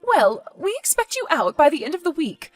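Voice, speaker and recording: A woman acts out a melodramatic, guilt-tripping mother. The voice is high and swoops widely. She is insistent and exasperated, with music behind her. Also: sing-song, moderate pace, dry recording. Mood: pained, firm. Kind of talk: dramatic dialogue acting.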